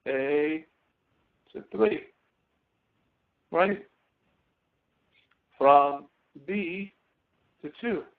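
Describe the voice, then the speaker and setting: A man's voice: on the high side, gravelly. Phone-like male instructor, step by step. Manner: halting, step-by-step explaining. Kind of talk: live online software lecture with screen demonstration. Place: online screen-share session. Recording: thin phone-like audio.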